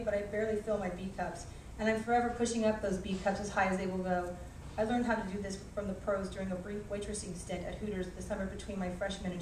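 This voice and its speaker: A woman's voice: mid-range voice, darkish tone. A woman reading a humorous personal essay aloud with a wry, self-deprecating manner. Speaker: author reading aloud, flight attendant. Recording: echoey room, distant mic, faint noise.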